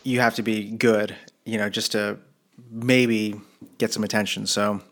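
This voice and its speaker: A man's voice: lowish voice, level tone, unhurried, a bit bright. Rambling man interviewer, full of fillers. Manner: hesitant, rambling, many fillers. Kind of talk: casual interview chat.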